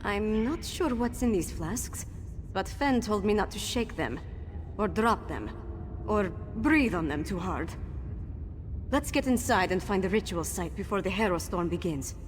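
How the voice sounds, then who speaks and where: An earnest young warrior woman, played by an actress in a fantasy game, sounds careful and a little unsure. Her voice sits at medium pitch in long reverb, with clear noise behind it. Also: expressive, unhurried, natural voice. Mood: wary. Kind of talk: video game character acting.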